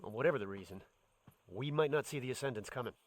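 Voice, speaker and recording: An actor playing a wry, combative veteran adventurer in a video game cutscene, with dramatic phrasing. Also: male voice, mid-range voice, fast, natural voice. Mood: grim defiance.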